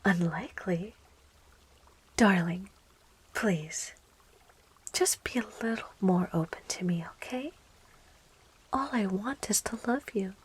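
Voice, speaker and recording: A woman voicing a sweet, clingy yandere plant girl in a soft, coaxing whisper for an ASMR roleplay. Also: medium pitch, slightly rough. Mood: tender, possessive. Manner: coaxing, cooing, giggly, slow.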